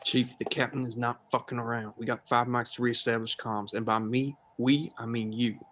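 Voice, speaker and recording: A man's voice: medium pitch, flat delivery, normal speed, a bit bright, close to an everyday voice. A man playing a wry, needling army sergeant in a screenplay table read, with informal military talk. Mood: urgent.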